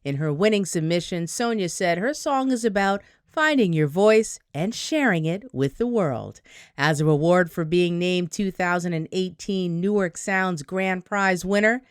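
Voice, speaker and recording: A woman's voice: fairly low pitch, measured pace, smooth, no echo, close to an everyday voice. Woman acting as local radio host, upbeat, polished, resonant, close dry sound, audio drama. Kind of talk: scripted radio DJ announcing.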